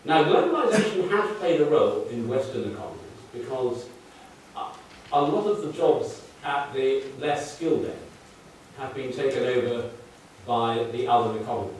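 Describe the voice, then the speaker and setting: A man's voice: mid-range voice, lively intonation, moderate pace, a little hiss or hum. Older manchester guy giving a lecture, dry wry jokes, sounds far off in a big echoey hall. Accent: northern English, Mancunian. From Manchester, England. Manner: dry, wry asides while explaining charts. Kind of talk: public lecture.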